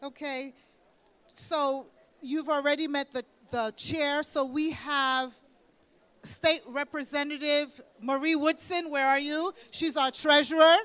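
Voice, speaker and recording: A woman's voice: sits high, fairly even intonation, slow, a bit bright. A woman at a gala introducing the members of a board one by one. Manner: methodical, listing names one by one. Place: hotel banquet hall.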